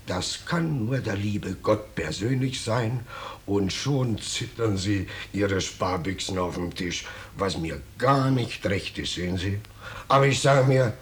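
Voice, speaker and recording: Man acting a low-voiced, sly, wheedling vagrant. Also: close to an everyday voice. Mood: sly, plaintive. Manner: unctuous, wheedling, deliberate, falsely modest. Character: ingratiating vagrant stranger, slyly menacing, mock-humble. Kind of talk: 1950s German radio play acting. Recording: noisy 1950s radio drama recording.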